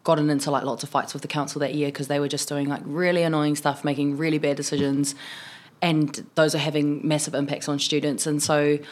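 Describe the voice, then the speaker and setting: Young woman, New Zealand accent, low voice, twenties, casual podcast guest, filler-heavy chat. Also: level tone, brisk. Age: 20s. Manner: chatty, many fillers like "um" and "like". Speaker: city councillor guest.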